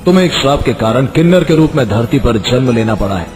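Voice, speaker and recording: A man voices a benevolent Moon king in a mythical storybook tale. He speaks formally and solemnly, with broad rises and falls in pitch. Music plays clearly underneath. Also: animated, fast, lightly acted. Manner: stately, formal pronouncements. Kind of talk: Hindi moral story acting. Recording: music behind the voice.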